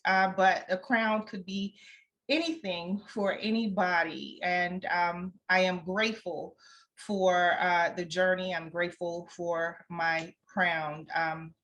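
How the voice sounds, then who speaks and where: A woman's voice: on the low side, fairly even intonation, takes it slowly, fairly bright tone. A woman guest in an interview, speaking conversationally as an author in a reflective, encouraging way.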